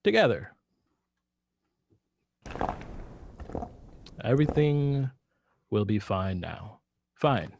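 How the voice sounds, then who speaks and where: An actor playing a weak, bewildered husband in a radio drama, halting and repeating his words. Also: fairly low pitch, animated, creaky, a little mellow, slight background noise. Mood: uneasy. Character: weak, bewildered henpecked husband turning to murder.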